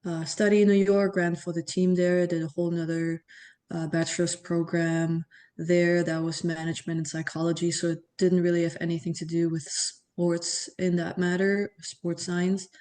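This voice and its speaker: Flat-voiced woman guest. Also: fairly low pitch, normal speed. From Germany. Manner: steady, explanatory, with frequent ums and restarts. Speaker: podcast guest. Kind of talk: casual interview talk.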